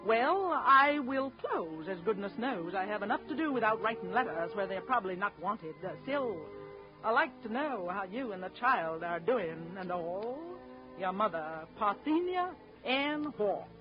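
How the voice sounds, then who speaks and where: A woman's voice: mid-range voice, sing-song, measured pace. An actress playing a stern, complaining older mother, brusque and disapproving, in 1930s radio drama style. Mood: disapproving, grudging. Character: stern, grudging, complaining older mother, small-town busybody. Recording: dull 1930s radio recording, music behind.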